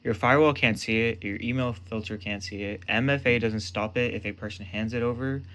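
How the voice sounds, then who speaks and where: A man with a fairly low voice narrates a scripted explainer as its presenter. He speaks in short, emphatic phrases. There is some noise behind his voice. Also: steady tone, a little fast, fairly bright tone.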